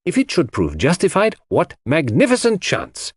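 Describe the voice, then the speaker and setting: A fastidious, theatrical detective played by a male narrator for an audiobook mystery. His pitch rises high and swoops widely as he exclaims, and every phrase is precise and pleased with itself. The sound is husky and very dry. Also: huge pitch swings, no room sound, full character voice. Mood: excited, urgent. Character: excitable, fastidious French-speaking male detective, pleased with himself.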